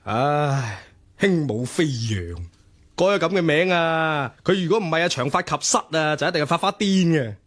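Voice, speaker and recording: A man's voice: fairly high pitch, animated, moderate pace, a bit bright. An actor playing a cynical, teasing young ladies' man in a radio drama, with a Hong Kong Cantonese accent. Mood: cynical, knowing.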